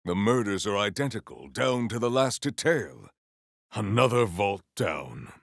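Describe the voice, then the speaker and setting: An actor playing a formal, valiant, earnest warrior hero in a video game, with stately, theatrical diction. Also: male voice, on the low side, expressive, a little slow, no echo, clean studio sound. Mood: thoughtful, serious. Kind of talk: scripted video game character lines.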